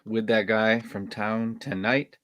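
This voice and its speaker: A man's voice: on the low side, fairly even intonation, slow, a bit bright, no echo, studio quality. A man acting an earnest, awkward, hopeful young male camp counselor in a horror script table read. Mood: puzzled.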